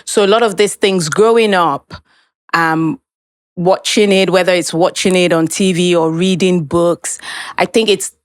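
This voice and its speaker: A woman's voice: fairly low pitch, fairly even intonation, a little slow, no room sound, studio quality. A woman whose first language is Yoruba, speaking thoughtfully in a podcast conversation.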